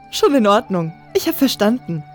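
Woman playing a soft-spoken, self-assured fantasy heroine. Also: a little high, very animated, takes it slowly, faint music behind. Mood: tender, amused. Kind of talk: fan audio drama acting.

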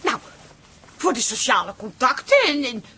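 An actress playing a down-to-earth, exasperated wife in a comic radio drama, speaking bluntly and colloquially. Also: female voice, a little high, sing-song, normal speed, sharp tone, a little hiss or hum, heavily acted.

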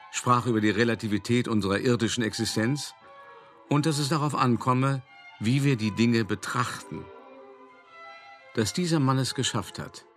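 Low-voiced, worldly old art dealer. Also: male voice, fairly bright tone. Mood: reflective. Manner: conversational, wry, addressing the listener directly. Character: worldly, cultured old art dealer reminiscing about his schemes. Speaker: narrator. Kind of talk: first-person radio play monologue. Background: music.

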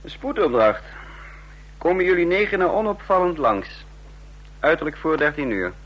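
Husky male spy chief, radio play, curt, commanding, scheming, brisk. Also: fairly high pitch, sing-song, highs cut off. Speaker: radio drama lead and narrator. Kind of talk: Dutch radio play acting, dialogue and narration.

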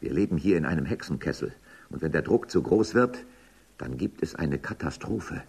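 A man's voice: very deep voice, lively intonation, moderate pace, vocal fry, a little mellow, husky, full character voice. An actor playing a stern, imperious male official in a dystopian radio drama, commanding and declamatory. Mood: stern, impatient. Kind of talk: German radio drama acting.

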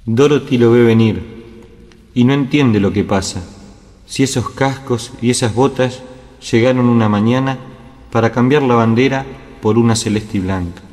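A man's voice: medium pitch, normal speed, darkish tone, close to an everyday voice. A man reciting poetic narration for a radio drama in a lyrical, cadenced way. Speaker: verse narrator. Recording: long hall reverb.

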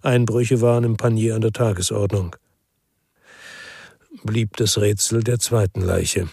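A man's voice: lowish voice, fairly even intonation, unhurried, vocal fry, darkish tone, slightly rough, dry recording, studio quality, full character voice. An actor playing a weary, brooding ex-policeman who narrates a radio crime drama in the first person. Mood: brooding, sober.

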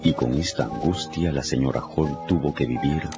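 A man's voice: very deep voice, normal speed. A man narrating a radio novel in a dramatic, scripted storytelling style. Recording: muffled radio production with music underneath.

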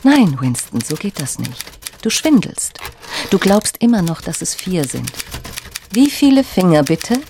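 A man in a radio drama playing a coldly patient totalitarian interrogator, deliberate and domineering, shifting from soft to sharp. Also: high-pitched, big pitch swings, full character voice. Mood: menacing. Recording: noisy dramatic scene with clicking, typing.

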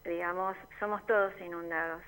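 A woman's voice: fairly low pitch, steady tone, moderate pace, a bit bright, clean tone, poor audio. An Argentine woman, a neighborhood assembly member, explaining her group's work earnestly in a radio interview. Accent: Argentine Spanish. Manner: earnest, explanatory, with frequent fillers. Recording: community radio studio.

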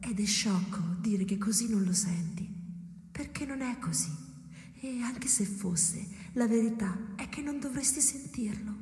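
An actress playing a detached, reproachful wife in a literary radio drama, ghostly and hushed, often half whispering. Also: female voice, a little high, unhurried, lightly acted. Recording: reverberant hall echo, noisy ambience.

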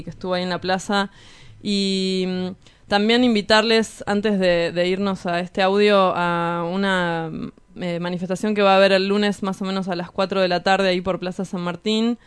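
A woman's voice: medium pitch, fairly even intonation, normal speed, clear, resonant tone, dry recording. A woman with an Argentine Rioplatense accent hosting a radio show, chatting casually about politics in an informal, blunt, sardonic way.

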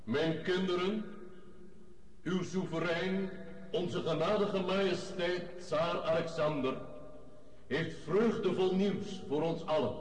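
A man's voice: high-pitched, slow pace. An actor playing a devout, solemn Orthodox patriarch in a radio drama, intoning reverently to his flock. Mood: reverent, joyful. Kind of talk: ceremonial radio drama oratory. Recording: echoey hall, distant, with noise.

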